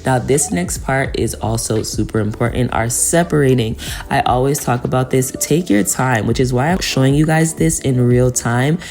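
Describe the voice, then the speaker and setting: A woman's voice: a bass voice, moderate pace, darkish tone, faint music behind. A woman giving a casual, chatty tutorial voice-over, speaking to viewers in an encouraging way. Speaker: hair tutorial vlogger.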